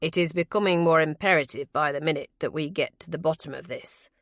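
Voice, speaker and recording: A woman voicing a commanding, troubled male aristocrat in an audiobook, formal, stern and deliberate. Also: sits low, a bit bright, dry recording, studio quality. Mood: grave, insistent. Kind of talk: audiobook character voice.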